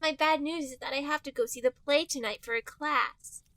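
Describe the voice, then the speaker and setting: Woman putting on a squeaky girlish cartoon hedgehog sweetheart. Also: fairly quick, fairly bright tone, no echo. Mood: pouty. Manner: exaggerated girlish delivery. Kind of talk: comedic fan fiction reading.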